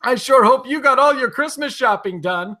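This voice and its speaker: A man playing a chipper 1940s radio announcer and pitchman, with an emphatic, folksy sales delivery. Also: lively intonation, moderate pace, pushed and bright, exaggerated voice. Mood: hearty, selling.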